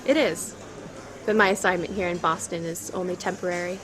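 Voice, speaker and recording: A woman's voice: on the low side, fairly even intonation, brisk, a bit bright, lightly acted, low-quality recording. A woman acting in an audio drama as a flirtatious professional on a coffee date, self-assured and coy. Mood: flirtatious, curious.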